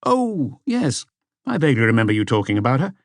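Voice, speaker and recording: Higher-pitched, evasive man under questioning by a narrator. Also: wildly swooping pitch, brisk, dry recording, clean studio sound. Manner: hesitant, defensive, higher-pitched. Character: uneasy, evasive boyfriend, a publicist under questioning.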